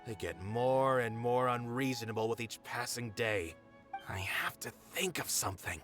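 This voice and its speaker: An actor playing a worried, put-upon theater manager in a video game, earnest and theatrical. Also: male voice, mid-range voice, moderate pace, music in the background, natural voice. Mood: resigned.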